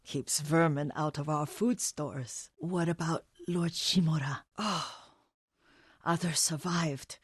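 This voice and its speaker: Elderly female caretaker, game drama: gentle, wistful, devoted, slightly low husky voice, halting slow delivery. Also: no room sound, clean studio sound, heavily acted. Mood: fond, matter-of-fact. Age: older. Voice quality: husky, breathy. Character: frail, devoted elderly Japanese woman caretaker and herbalist. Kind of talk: dramatic video game voice acting.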